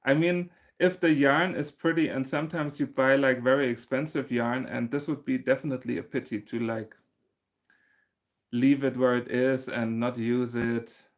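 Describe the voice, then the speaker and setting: A man's voice: medium pitch, flat delivery, normal speed. A man, hosting a casual livestream and chatting through viewer comments, laughing often and restarting his sentences. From Germany. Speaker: crochet channel host.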